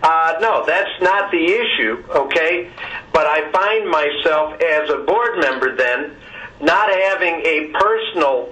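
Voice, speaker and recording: A man with a fairly high, bright and forceful voice speaks as a board member on a conference call. He argues in halting, repeated phrases, often checking in with "okay", and sounds distant on the telephone line. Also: lively intonation. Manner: halting, repeats words, adds "okay" as a tag.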